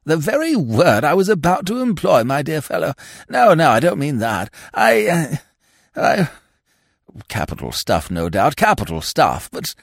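A man's voice: a little high, big pitch swings, unhurried, dry recording, studio quality, voice pushed up, exaggerated voice. Guy doing a fussy old lord, stammery and indignant, voice jumps way up, storybook. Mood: flustered. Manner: stammering, blurting, exclamatory. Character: flustered, fussy old English lord, absent-minded and indignant.

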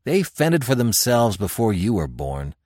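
A man playing a fierce, proud barbarian warrior chief in an audiobook adventure, gruff, growling and sardonic. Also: lowish voice, big pitch swings, measured pace, darkish tone, dry recording, clean studio sound, pitched above the performer's own voice. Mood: angry, boastful.

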